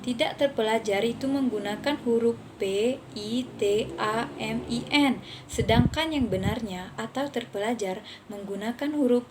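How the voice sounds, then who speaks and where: A woman's voice: a little high, a little slow, background noise. A young woman student reading a class presentation, carefully spelling out letters and example words. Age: young adult.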